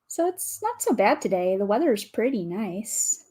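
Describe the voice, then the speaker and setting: A woman with a calm, soothing voice, chatting casually with viewers as a livestream host, greeting and thanking them as she goes. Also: mid-range voice, normal speed, breathy edge. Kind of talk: casual livestream chat while building.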